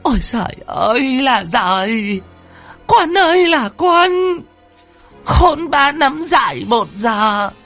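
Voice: mid-range voice, big pitch swings, sharp tone, faint music behind, voice pushed up, exaggerated voice. A storyteller voicing a middle-aged village mother with a northern Vietnamese accent, scolding in hissed, wailing, badgering outbursts. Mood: anguished wailing. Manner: hissing, rapid badgering questions, wailing exclamations. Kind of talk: dramatic audio storytelling.

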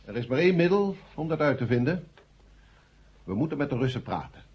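A decisive crisis-council chairman in a vintage radio drama. His very husky voice moves widely in pitch with formal authority, on a muffled recording. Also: big pitch swings, a little fast, full character voice. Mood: firm, measured. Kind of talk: 1970 Dutch radio play acting. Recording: dull old radio play recording.

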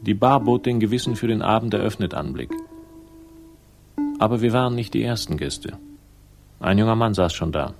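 An actor playing a wry, world-weary detective, narrating a radio crime drama in a dry, sardonic manner. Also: male voice, lowish voice, expressive, moderate pace, creaky, pauses often, loud music behind the voice.

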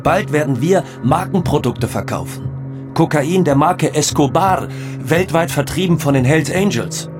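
An actor playing an ambitious, boastful cocaine boss in a German radio drama, speaking with confident, declarative phrasing. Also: male voice, a little high, animated, unhurried, over music.

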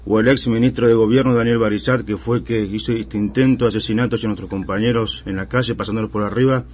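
A man's voice: lowish voice, fairly even intonation, fast, darkish tone. A man in his thirties with an Argentine accent, a union leader telling his story in a radio interview with many fillers and restarts. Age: 30s. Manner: rambling, filler-heavy storytelling.

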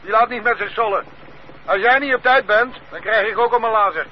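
An actor playing an officious court messenger delivering a summons in a radio drama. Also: male voice, high-pitched, natural voice. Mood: stern. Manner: brisk, matter-of-fact orders. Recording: dull old radio play recording with faint hiss.

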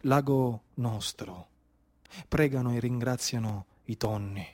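Slow, theatrical Sicilian man storyteller. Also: mid-range voice, a little mellow. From Sicily, Italy. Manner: declamatory, incantatory, deliberate phrasing with repetitions. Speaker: stage storyteller, actor. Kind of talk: theatrical monologue, dramatic storytelling.

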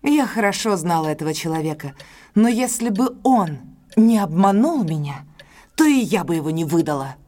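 A woman's voice: on the low side, big pitch swings, normal speed, warm tone. An actress playing a proud, wronged and resolute woman in an audio drama, speaking earnestly, with emotion and formality. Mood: subdued, confiding. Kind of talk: audio drama acting, classic detective story.

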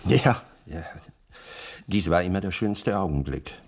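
Wry doubting companion, deep husky voice, dry, teasing, radio drama. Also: male voice, lively intonation, unhurried, heavily acted. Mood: agreeable. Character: sceptical, wry friend of a grieving widower. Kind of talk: radio play dialogue.

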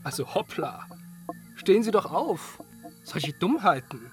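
A man's voice: high voice, big pitch swings, unhurried, soft background music. A man acting in a radio play as an exasperated, mocking acquaintance who talks in a scolding, condescending way. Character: exasperated, mocking male acquaintance on a night walk.

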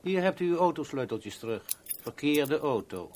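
An actor playing an oily, politely menacing go-between in a 1970s radio play, formal and insinuating. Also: male voice, on the high side, expressive, moderate pace, a little mellow, a little hiss or hum, lightly acted. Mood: insinuating, businesslike.

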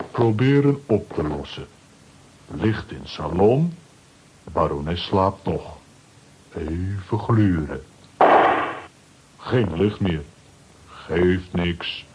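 A man's voice: sits low, expressive, very slow, pauses often, background noise, heavily acted. An actor playing a simple, unflappable strongman butler who speaks in clipped phrases in a children's audio drama. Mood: calm, puzzled. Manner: clipped telegram-style phrases.

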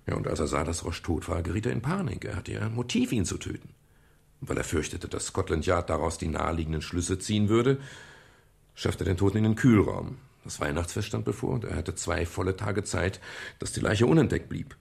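An actor playing a calm, reflective private detective in a German radio crime drama, speaking in a measured, explanatory way with a gravelly, husky voice. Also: male voice, deep voice, heavily acted. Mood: calm explaining. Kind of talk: German radio crime drama, dialogue and first-person narration.

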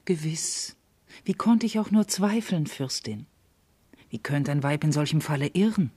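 Low, dark-voiced loyal confidante. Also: female voice, animated, a little slow, husky, no echo, full character voice. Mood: reassuring. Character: loyal female confidante soothing her princess, sensible, reassuring. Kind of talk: classical German verse radio play acting.

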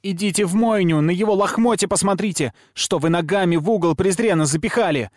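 A man's voice: sits high, expressive, moderate pace, no room sound, clean studio sound, voice pushed up. A man voicing a defiant young girl in an audiobook story, shrieking and tearful with indignation. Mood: shrill indignation. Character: furious, defiant, weeping young girl named Flame.

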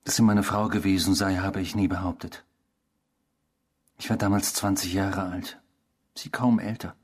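A man's voice: deep voice, fairly even intonation, normal speed, slightly rough. A male actor in a German radio drama playing an intrusive, obsessive stranger who talks insistently and theatrically. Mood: brooding, reminiscing. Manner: insistent, theatrical, probing, swinging from hushed to emphatic.

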